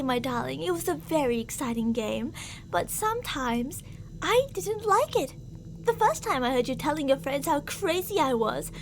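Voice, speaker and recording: Very high-pitched obsessive yandere stalker played by a woman. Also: a little hiss or hum. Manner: theatrical, cooing endearments that turn reproachful. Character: obsessive delusional yandere woman stalker, sweetly menacing, jealous, volatile. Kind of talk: ASMR roleplay monologue.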